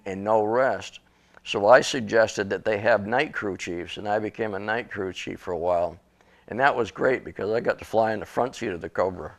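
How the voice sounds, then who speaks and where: An older man from Western Massachusetts, an author and interview guest, speaking in a plainspoken, matter-of-fact way. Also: lowish voice. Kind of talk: interview guest recounting memories.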